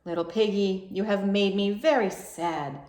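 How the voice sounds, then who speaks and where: A woman's voice: mid-range voice, a little slow, a little mellow, echoey room, close to an everyday voice. A woman voicing a stern, fuddy-duddy father pig in a storybook, scolding in emphatic rhythm.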